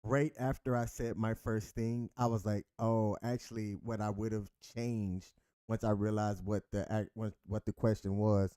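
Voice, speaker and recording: A man in his thirties co-hosting a relaxed couple's chat show, easygoing and quick to laugh at himself. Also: fairly low pitch, clean tone, no room sound, studio quality. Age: 30s. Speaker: co-host.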